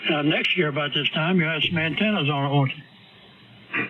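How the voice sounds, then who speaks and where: A man with a fairly high voice gives short, dryly funny replies over a ham radio link. He sounds far off and thin, as if through a phone, with static behind him. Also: normal speed. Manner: dry humor, short replies. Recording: distant tinny radio signal with static.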